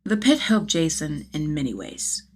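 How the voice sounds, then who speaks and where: A woman reading a story aloud as an expressive scripted narration, with a slightly husky voice. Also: sits low, a little slow, slight background noise. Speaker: audiobook-style narrator of fan fiction.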